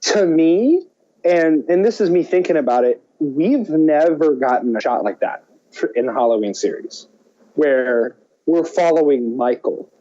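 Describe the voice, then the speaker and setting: A man co-hosting a podcast in a casual, halting film chat, often restarting his thoughts. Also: fairly high pitch, expressive, warm tone, dull audio. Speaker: podcast co-host.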